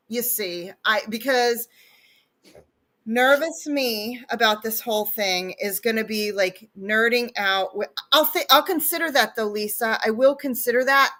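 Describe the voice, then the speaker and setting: A woman's voice: a little high, a bit bright. A sniffly woman chatting casually and enthusiastically as co-host of a livestream, laughing often and talking over a video call.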